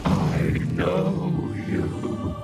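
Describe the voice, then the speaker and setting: Slow, gravelly towering demon. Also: male voice, low voice, very animated, full character voice. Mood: probing, intent. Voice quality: gravelly, creaking. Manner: slow, formal, theatrical menace. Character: towering ancient male demon, menacing, probing, imperious. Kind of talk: audio horror drama acting. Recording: loud dramatic music behind.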